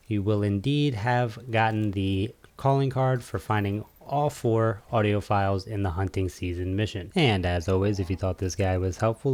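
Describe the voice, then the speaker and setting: A man's voice: lowish voice, a little mellow. A man giving a casual, easygoing video game walkthrough as an instructional guide.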